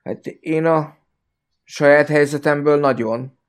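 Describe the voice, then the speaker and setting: Man in halting, casual discussion. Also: a little high, fairly even intonation, clean tone. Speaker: football fan podcast guest.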